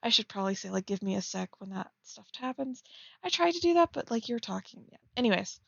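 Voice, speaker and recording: Young female co-host, slightly high voice, tentative and rambling casual commentary, dry close recording. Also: lively intonation, fast talker, creaky, fairly bright tone, dry recording. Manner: rambling, tentative, heavy use of "like". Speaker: co-host reading and critiquing fan fiction. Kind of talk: casual chat with read-aloud passages. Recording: dry, slightly dull lo-fi mic.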